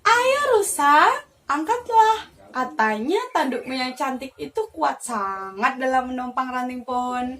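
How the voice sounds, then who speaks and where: A taunting storybook pigeon acted out by a woman, very high and bright. The delivery is sarcastic and goading, with words drawn out slowly. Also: lively intonation. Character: mocking, taunting pigeon in a forest fable.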